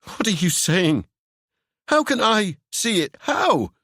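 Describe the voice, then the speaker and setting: A male narrator puts on a panicked young man whose voice jumps high and wild as he fires off desperate, demanding questions. The swings in pitch are huge and the sound is close and dry. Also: big pitch swings, slow, fairly bright tone, no echo, heavily acted. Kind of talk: audiobook character voice.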